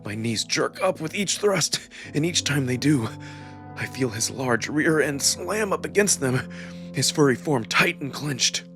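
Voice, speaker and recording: A man performing dramatic first-person narration from a scripted story, with expressive, emotional emphasis. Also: mid-range voice, unhurried. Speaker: narrator and voice performer of a visual novel. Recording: music behind the voice. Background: music.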